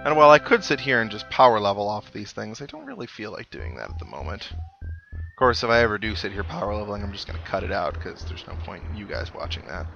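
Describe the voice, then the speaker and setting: A man's voice: on the low side, wildly swooping pitch, fast, gravelly, bright, forceful tone, lo-fi sound, soft background music. A man giving casual video game commentary as a let's player, with wry, self-deprecating asides.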